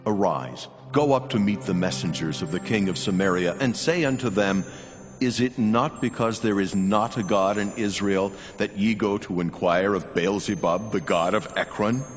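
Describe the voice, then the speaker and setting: Man as a reverberant, low-voiced solemn angel. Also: lightly acted. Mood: grave, commanding. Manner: grave, authoritative, measured. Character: solemn male angel of the Lord giving orders. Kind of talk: dramatized Bible audio acting. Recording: long cavernous reverb over music.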